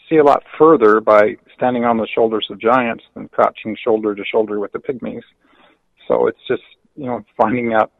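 A man's voice: lowish voice, gravelly, slightly rough, dry recording. A man speaking as a panel guest, modest and reflective about his background. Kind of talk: panel discussion. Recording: tinny dry phone-like line.

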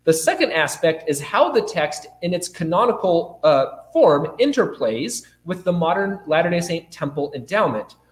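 A man with a cold reads a formal academic paper to a conference audience, his slightly raspy voice pitched a bit high and rising and falling widely. The sound carries a noticeable echo from the room. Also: sing-song. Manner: formal, measured academic delivery. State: has a cold and cough. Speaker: conference presenter.

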